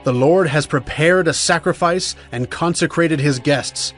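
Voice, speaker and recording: Stern prophet, high-voiced, over music. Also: male voice, a bit bright. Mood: stern warning. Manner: solemn, emphatic, deliberate oratory. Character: urgent male Hebrew prophet proclaiming doom, stern. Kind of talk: dramatized scripture reading, declamatory.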